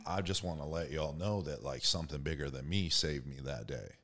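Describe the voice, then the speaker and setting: A young man with a very deep, low voice talks in a relaxed, slangy way. He keeps tossing in "you know what I mean" as he reflects out loud. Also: fairly quick. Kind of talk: casual conversation.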